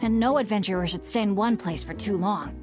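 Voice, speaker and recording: A woman's voice: medium pitch, brisk, close to an everyday voice. A woman playing an earnest, hopeful teenage boy adventurer in an anime-style video game, sincere and eager. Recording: music behind the voice.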